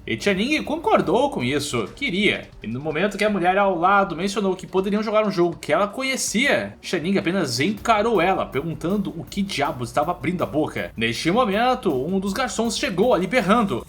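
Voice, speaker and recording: A man's voice: high-pitched, fairly bright tone, slight background noise. A Brazilian man narrating a scripted story recap in an informal, colloquial storytelling way.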